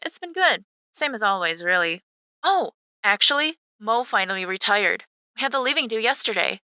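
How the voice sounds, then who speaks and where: A woman's voice: medium pitch, expressive, normal speed, sharp tone, clean tone, no echo, highs cut off. An actress playing a supportive, chatty young woman, a protective best friend, in a naturalistic audio drama.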